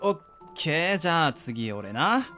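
A young man with a high voice, played by a man in a radio drama. He comes across as cocky and mischievous, a friend who likes stirring things up. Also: very animated, unhurried, sharp tone, soft background music, full character voice. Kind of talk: amateur Japanese radio drama acting.

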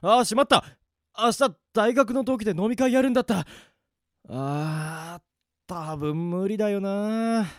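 A man's voice: sits high, unhurried, no echo, studio quality, voice pushed up. A man playing a worn-out young office worker. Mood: weary. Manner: weary, grumbling to himself. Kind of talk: amateur radio drama acting.

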